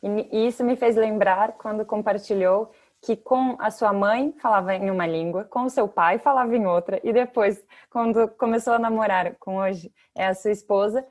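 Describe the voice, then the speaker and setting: A woman's voice: medium pitch, normal speed, darkish tone. A woman interviewer asking long, winding questions and giving short murmurs of agreement. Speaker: interviewer, host.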